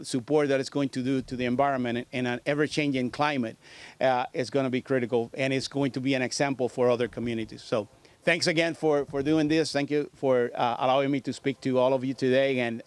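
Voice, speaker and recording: A man in his fifties from Puerto Rico with a Puerto Rican accent, a state agency director giving a halting public speech full of fillers and restarts at a podium microphone. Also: medium pitch, clear, resonant tone, no echo. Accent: Puerto Rican Spanish. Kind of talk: public speech at a news conference.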